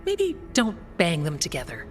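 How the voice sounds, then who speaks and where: A calm, practical woman warrior in a fantasy video game. Her voice sits low and her delivery is measured and matter-of-fact, with a dry edge. Music plays faintly behind her. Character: steady, practical Grey Warden woman, calm and wryly dry.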